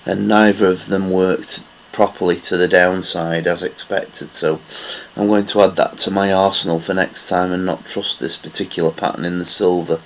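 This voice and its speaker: A man's voice: deep voice, level tone, moderate pace, few pauses, bright, forceful tone. A man giving casual, rambling commentary on silver price charts, with many fillers. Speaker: market analysis vlogger.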